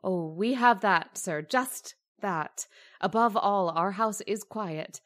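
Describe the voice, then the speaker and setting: A woman's voice: medium pitch, slow, a bit bright. A woman playing an anxious, thrifty landlady who stammers and fusses to please, in a radio drama. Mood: flustered. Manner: flustered and stammering, eager to please. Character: anxious, eager landlady, middle-aged, thrifty.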